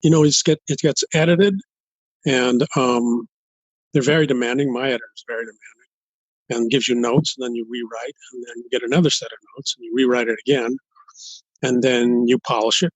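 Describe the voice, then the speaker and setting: An older man from Los Angeles in an interview as a guest author, speaking in a halting, thoughtful way and building his points step by step. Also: mid-range voice, steady tone, no room sound, rough recording. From United States, Los Angeles. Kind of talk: interview conversation.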